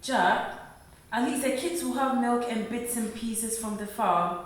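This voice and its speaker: A woman's voice: a little high, a little slow, natural voice. A woman playing a worried, poor wife and mother in a radio play, earnest and reproachful. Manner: earnest, reproachful, repeating her husband's name. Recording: very echoey room.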